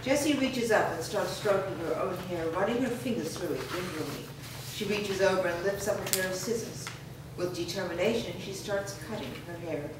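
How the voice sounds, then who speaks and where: Woman reading script directions, lowish voice, far from mic, big echoey room. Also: moderate pace, few pauses. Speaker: narrator reading stage directions. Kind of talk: scripted narration at a staged screenplay reading. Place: live staged reading in a reverberant room. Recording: distant, very echoey room, background noise.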